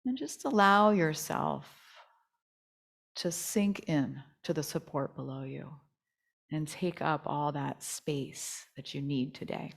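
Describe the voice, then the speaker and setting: A woman teaching yoga nidra, leading a guided relaxation meditation in a soothing, gentle, unhurried manner. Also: deep voice, vocal fry.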